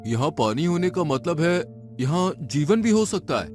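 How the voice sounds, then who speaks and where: A man's voice: on the high side, very animated, normal speed, soft background music. A man playing an eager, anxious male space scientist in a dramatized adventure story. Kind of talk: dramatized Hindi audio story dialogue.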